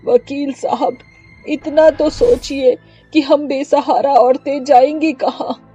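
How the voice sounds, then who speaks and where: A woman's voice: high-pitched, steady tone, slow, mellow, faint music behind. A woman voicing an anxious, grieving young wife in a story, pleading and sorrowful, on muffled audio. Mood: despairing.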